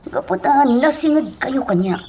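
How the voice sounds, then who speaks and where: A woman's voice: high-pitched, lively intonation, normal speed, background noise. A woman playing a caring, earnest young neighbour and loyal family friend in a radio drama, with an Ilocano accent. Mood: reassuring. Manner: solicitous, earnest.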